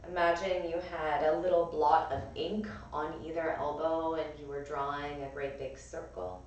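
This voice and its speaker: Woman, raspy and strained, calm guided instruction, echoey room, mic at a distance. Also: deep voice, normal speed, muffled recording, slight background noise. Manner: calm, gentle cueing of breath and movement. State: strained voice from overuse. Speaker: yoga and pilates instructor.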